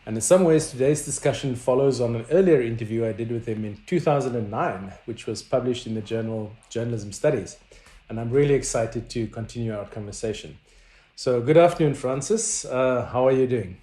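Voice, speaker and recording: A man hosting an interview, reading a prepared introduction and asking questions in a measured way. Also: medium pitch, mellow. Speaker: interviewer, media professor. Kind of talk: interview host. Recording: echoey room.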